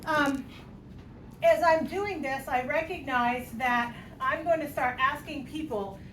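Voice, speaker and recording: A joking woman in her fifties leads a math lesson, talking students through tallies with a light teasing manner. Her voice sits high. She is heard from a distance, in a reverberant room with steady background noise. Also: slow. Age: 50s. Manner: playful, jokey, talks through examples aloud. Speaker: math teacher. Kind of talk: classroom lecture. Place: classroom. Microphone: distant room mic. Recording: echoey classroom, distant mic, noisy.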